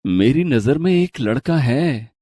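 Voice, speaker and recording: A man voicing a kindly, earnest, gently authoritative older father-in-law in a cartoon story. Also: mid-range voice, expressive, takes it slowly, dry recording, studio quality. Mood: thoughtful. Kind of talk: animated moral story dialogue.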